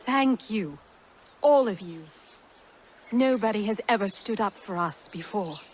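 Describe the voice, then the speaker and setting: A woman playing a spirited, grateful settler wife in a video game cutscene, heartfelt and expressive. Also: medium pitch, measured pace, slight background noise, close to an everyday voice.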